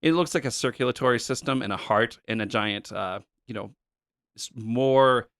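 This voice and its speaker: A man co-hosting a podcast, casually walking through retro game levels with a relaxed, explanatory manner and plenty of fillers. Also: medium pitch, normal speed, dry recording, studio quality. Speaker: podcast co-host. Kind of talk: casual chat about retro games.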